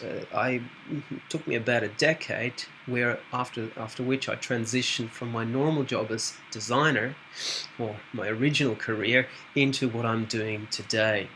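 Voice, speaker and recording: A middle-aged man from Switzerland introducing his work as a spiritual medium in a calm, measured way with occasional hesitations. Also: medium pitch, gravelly, slight background noise, rough recording. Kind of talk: direct-to-camera self-introduction.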